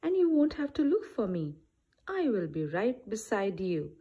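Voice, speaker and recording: A woman's voice: mid-range voice, big pitch swings, a little slow, dark tone, highs cut off. A woman voicing a cheery, eager little bird in a children's storybook, with a chirpy manner. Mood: cheerful.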